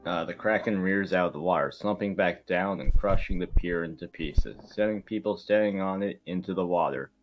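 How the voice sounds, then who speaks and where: A man's voice: low-pitched, moderate pace, hardly any pauses, muffled recording, faint music behind. A man narrating an amateur table read, reading stage directions aloud.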